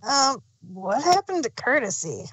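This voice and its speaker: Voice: high voice, big pitch swings, measured pace, a bit bright, slightly rough, no echo, heavily acted. A performer voicing a timid, bemused young male baby dragon with a hesitant, meek manner in a cartoon fan fiction reading. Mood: stunned.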